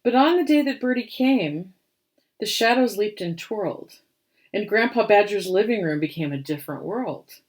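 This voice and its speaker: A woman reading a rhyming picture book aloud as storyteller and host, with expressive, theatrical delivery. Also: mid-range voice, normal speed.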